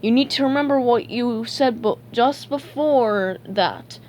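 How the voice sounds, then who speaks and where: A woman's voice: on the high side, unhurried, darkish tone, a little hiss or hum. A woman voicing a dim, laid-back male cartoon starfish with a casual, matter-of-fact way of talking. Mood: reasonable, needling.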